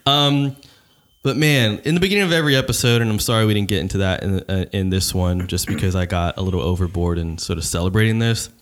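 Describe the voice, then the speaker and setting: A man's voice: sits low, quick pace. A man hosting a casual podcast, talking loosely and profanely with lots of restarts. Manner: loose, profane, animated talk with many restarts. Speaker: podcast host.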